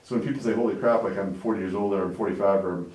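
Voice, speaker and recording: A man's voice: on the low side, steady tone, racing, a little mellow, reverberant, far from the mic, poor audio. A man in his forties from Canada, talking casually as an interview guest in a rambling way, often tagging on "right?" and "like". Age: 40s. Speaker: interview guest, business owner.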